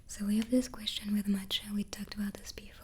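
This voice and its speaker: A woman's voice: mid-range voice, little pitch movement, fast, slightly rough. A woman hosting a whispered ASMR chat, answering questions while snacking on cookies close to the microphone. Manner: soft unvoiced whisper throughout. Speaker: ASMR host.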